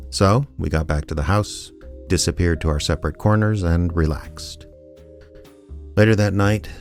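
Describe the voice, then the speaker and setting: Man as low-pitched, weary small-town gumshoe; sardonic, deadpan, noir radio drama, music underneath. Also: lightly acted. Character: wry, weary male small-town private investigator. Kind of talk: first-person noir narration and dry radio drama dialogue.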